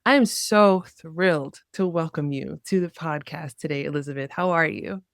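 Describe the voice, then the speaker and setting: A woman's voice: sits low, moderate pace, gravelly, dry recording. Eager woman podcast host, dry sound. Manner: enthusiastic, warm interviewer. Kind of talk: podcast interview.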